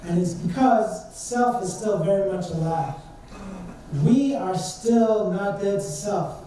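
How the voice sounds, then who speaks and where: A man's voice: high-pitched, animated, takes it slowly, mellow. A young man from Trinidad giving an earnest, conversational sermon as a student speaker at a church service, asking his listeners questions. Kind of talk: informal sermon. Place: church sanctuary. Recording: distant and very echoey church hall, faint noise.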